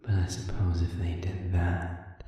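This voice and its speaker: A man playing a sadistic, coolly amused demon in an audio roleplay, speaking in a measured, silky, condescending way with soft edges that slip toward a whisper. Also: deep voice, completely flat delivery. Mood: amused, disdainful. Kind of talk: scripted audio roleplay monologue. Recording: very echoey reverberant room, distant.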